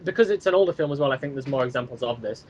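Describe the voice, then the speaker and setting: A man's voice: medium pitch, animated, rapid-fire, a little mellow. A man in casual discussion as a podcast guest, explaining his points and acting out imagined reactions. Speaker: podcast guest, creator of an audio drama series. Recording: muffled band-limited mic with clear background noise.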